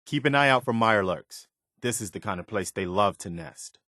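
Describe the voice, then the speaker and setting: A man's voice: on the low side, expressive, moderate pace, pushed and bright, no echo, clean studio sound. A man voicing an earnest, hopeful militiaman companion in a post-apocalyptic video game, speaking in a plain, wistful and wary manner. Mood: wary, watchful.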